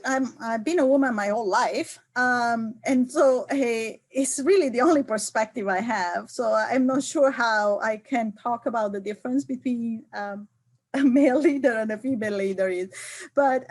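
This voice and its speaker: Hesitant middle-aged woman IT leader. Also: a little high. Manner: hesitant, many fillers and restarts. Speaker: IT leader guest. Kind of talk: remote interview conversation.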